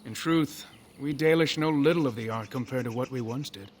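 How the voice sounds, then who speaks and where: An actor playing a proud, fussy elven master craftsman in a video game, speaking formally and with measured scolding. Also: medium pitch, slight background noise, lightly acted. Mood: courteous, grave. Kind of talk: video game character dialogue.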